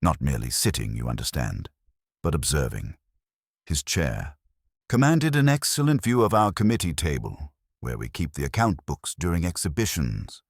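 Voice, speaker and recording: A man's voice: very deep voice, huge pitch swings, moderate pace, breathy edge, no echo, studio quality, full character voice. A man voicing a self-important, gossipy lady in an audiobook, telling her tale formally and with theatrical relish.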